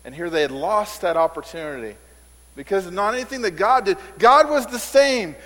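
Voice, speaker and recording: A man's voice: animated, fairly quick, a bit bright. A male pastor preaching a conversational sermon in a church, repeating words as he builds emphasis. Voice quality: says he has a squeaky voice. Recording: reverberant hall sound.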